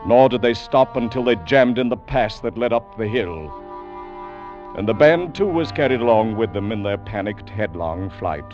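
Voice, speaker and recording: An actor narrating an old radio war drama in a grave, dramatic storytelling manner. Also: male voice, fairly low pitch, lively intonation, moderate pace, lightly acted. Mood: grave, urgent. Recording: dull vintage radio recording, music behind.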